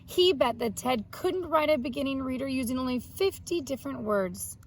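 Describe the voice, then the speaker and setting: A woman's voice: sits high, expressive, moderate pace, slight background noise. A woman speaking as an elementary teacher to young children, reading aloud and explaining things simply, step by step. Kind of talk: read-aloud and children's art lesson.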